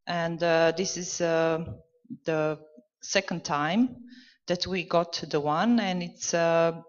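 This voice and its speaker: A woman's voice: on the low side, steady tone, slow pace. Hesitant woman panelist in a hall. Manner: hesitant, many fillers. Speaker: panelist from a parents' organization. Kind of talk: conference panel answer. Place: conference hall. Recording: dull, reverberant hall recording.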